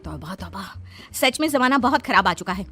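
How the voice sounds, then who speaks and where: A woman's voice: medium pitch, animated, moderate pace, bright, forceful tone, soft background music, voice pushed down. A woman voicing a prudish, scolding married daughter-in-law in a cartoon story, dramatic and gossipy. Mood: scandalized.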